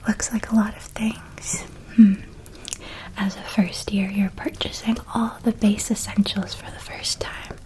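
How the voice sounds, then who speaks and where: A woman voicing a gentle, reassuring witch guide in a whispered ASMR roleplay, hushed and soothing as she tells things. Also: medium pitch, little pitch movement, natural voice. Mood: gentle, helpful. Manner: hushed, soothing, unhurried storytelling. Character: gentle, reassuring witch guide helping a young academy student.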